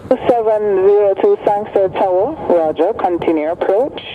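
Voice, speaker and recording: A man with a high voice reads out tower clearances in a brisk, clipped way. He comes through a tinny radio channel buried in loud static. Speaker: air traffic controller.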